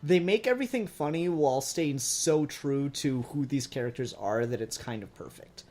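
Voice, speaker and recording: A man's voice: medium pitch, expressive, normal speed, darkish tone, a little hiss or hum. A man co-hosting a podcast, giving opinionated, emphatic takes with plenty of swearing in a casual fan discussion. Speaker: podcast co-host.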